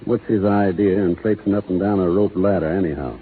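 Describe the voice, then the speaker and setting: A man's voice: low voice, fairly even intonation, natural voice. A man playing a laconic drawling cowboy. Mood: opinionated. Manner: slow folksy drawl with plain rural speech. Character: laconic young cowboy courting a schoolteacher. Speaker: lead actor in a radio play. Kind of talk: 1930s radio drama acting. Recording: tinny 1930s radio broadcast with faint hiss.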